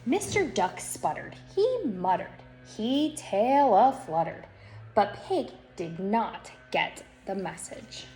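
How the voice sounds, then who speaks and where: A woman narrates a children's story with dramatic phrasing. She takes her time and varies her pitch widely. The recording is echoey, with a little background noise. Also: sing-song, takes it slowly, darkish tone, faint music behind. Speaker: storyteller reading a picture book aloud. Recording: echoey room with faint noise.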